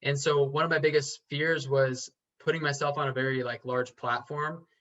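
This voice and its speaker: A man talks as a podcast guest, earnest and halting, often stopping to restart a sentence. His voice has a middle pitch. The audio is slightly dull and lo-fi. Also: flat delivery, fairly bright tone. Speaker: podcast guest, real estate investor. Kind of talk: casual interview chat.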